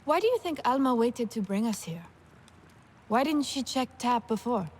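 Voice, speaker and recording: A woman's voice: a little high, lively intonation, moderate pace, a little hiss or hum, close to an everyday voice. An actress playing a reflective young alien woman in a video game, wistful and questioning. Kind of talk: video game cutscene acting.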